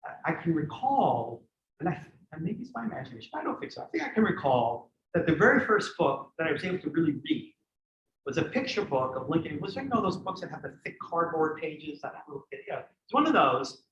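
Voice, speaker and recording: A man's voice: fairly high pitch, big pitch swings, brisk, fairly bright tone, a little hiss or hum. A man born in Greece giving a history lecture and answering audience questions in a thoughtful, digressive way with many restarts. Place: community center lecture room. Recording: echoey room, dull lo-fi sound.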